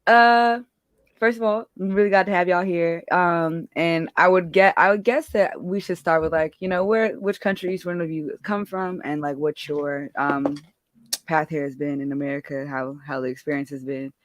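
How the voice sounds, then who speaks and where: A woman's voice: on the low side, steady tone, moderate pace. A woman hosting a podcast, talking in a chatty, upbeat way with many fillers over a remote call. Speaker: podcast host. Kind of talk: casual podcast chat.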